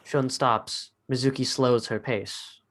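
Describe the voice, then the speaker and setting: Mid-pitched man narrating a script. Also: fairly even intonation, slow pace, a bit bright. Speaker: narrator reading stage directions.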